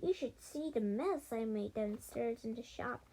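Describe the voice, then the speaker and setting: A woman's voice: fairly high pitch, measured pace, mellow. A woman voicing a timid, easily frightened storybook mouse detective with a melodramatic, exclamatory manner. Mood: sympathetic, earnest.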